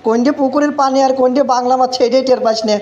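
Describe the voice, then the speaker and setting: A man's voice: very high voice, steady tone, racing, lo-fi sound, noisy background. A man voicing a bossy, mocking young drinking buddy in a comic cartoon, scolding in an exasperated way with a rural Bangladeshi accent. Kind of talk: Bengali comic cartoon voice acting.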